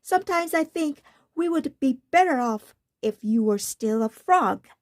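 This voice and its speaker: A woman's voice: high-pitched, expressive, measured pace, darkish tone, no room sound, studio quality, voice pushed up. A woman voicing an exasperated young storybook princess in a scolding, complaining manner.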